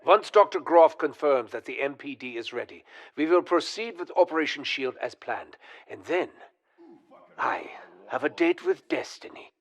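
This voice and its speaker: Scheming German scientist, high-pitched, husky, condescending, theatrical game villain. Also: male voice, big pitch swings, moderate pace. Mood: smug, persuasive. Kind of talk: video game cutscene acting.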